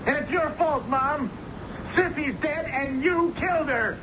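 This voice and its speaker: An actor playing an angry, grieving young son, heated and accusatory, in 1970s American radio drama style. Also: male voice, very high-pitched, a little slow. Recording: muffled, noisy old radio broadcast.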